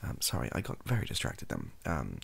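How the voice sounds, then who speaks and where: A young British man, softly spoken, rambling hesitantly through an opinion monologue in a gravelly, husky voice and often trailing off. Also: extremely low pitch, wildly swooping pitch, a little fast. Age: young adult. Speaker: ASMR YouTuber. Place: small home room, indoors.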